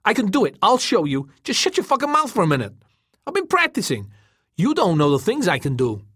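Earnest, hot-tempered young man, acted by a male narrator, lifted pitch, insistent and defiant storybook delivery. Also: a little slow, no room sound, clean studio sound, natural voice. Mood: irritated. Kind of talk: audiobook character dialogue.

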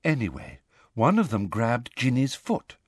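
A man voicing a soft, dreamy teenage girl with a hushed, gentle manner, in a fantasy audiobook. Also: medium pitch, wildly swooping pitch, measured pace, breathy edge, no room sound, studio quality, full character voice. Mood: hushed, sad.

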